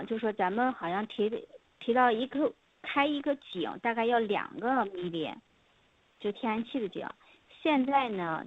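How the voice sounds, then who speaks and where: A woman with a Chinese accent, a native Mandarin speaker, answering questions and interpreting as a company representative on a conference call. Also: mid-range voice. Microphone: telephone. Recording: dull lo-fi conference phone call.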